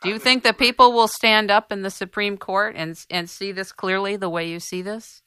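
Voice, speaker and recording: A woman hosting an interview, asking pointed questions in a deliberate way. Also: medium pitch, fairly even intonation, fairly bright tone, clear, resonant tone, dry recording. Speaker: radio interviewer, host.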